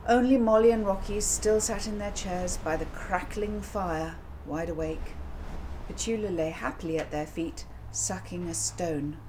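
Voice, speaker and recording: Lower-voiced woman storyteller, noisy recording. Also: unhurried. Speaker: author reading own book aloud. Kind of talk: children's audiobook narration, expressive storytelling.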